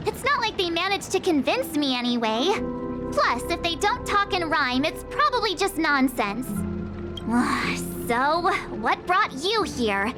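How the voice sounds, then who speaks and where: A woman's voice: extremely high pitch, full character voice. A woman voicing a girlish, earnest young adventurer in a game, bubbly and a little defensive.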